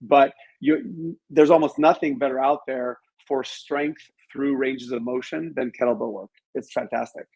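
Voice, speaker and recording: A man's voice: mid-range voice, unhurried, gravelly, few pauses, darkish tone, breathy edge, low-quality recording. A middle-aged man answering as an interview guest, explaining things conversationally and with emphasis. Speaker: interview guest, author and martial arts instructor.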